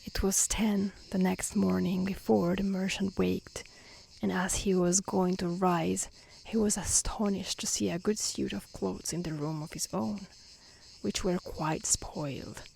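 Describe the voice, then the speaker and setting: A woman reading a storybook aloud in a soft, breathy, half-whispered ASMR style, gently and carefully. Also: fairly low pitch, fairly even intonation, measured pace, low-quality recording. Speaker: narrator reading a fairy tale. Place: outdoors in nature. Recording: faint outdoor insect noise. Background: crickets and insect ambience.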